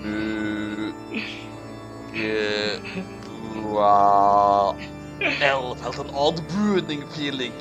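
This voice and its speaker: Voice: medium pitch, drawn-out words. A person doing a comedic dramatic reading of a garbled fan story as host, in a theatrical, mock-outraged manner. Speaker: host and reader. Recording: music playing behind. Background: music.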